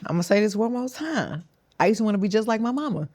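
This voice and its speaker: A woman's voice: medium pitch, expressive, quick pace, darkish tone. A young adult woman from Houston with a Southern Texas drawl, a rapper guest talking casually, candid and slangy, laughing and swearing freely. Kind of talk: casual interview chat.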